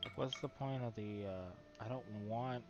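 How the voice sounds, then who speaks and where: A man's voice: mid-range voice, measured pace. A man giving casual live gameplay commentary as a streamer, thinking aloud in a laid-back way. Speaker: game streamer. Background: game music.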